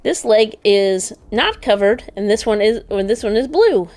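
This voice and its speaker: A woman's voice: mid-range voice, expressive, measured pace, a little mellow. A woman co-hosting a casual unboxing show, chatty and enthusiastic as she points out details. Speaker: co-host of a toy unboxing show. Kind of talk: casual product show-and-tell. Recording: faint background noise.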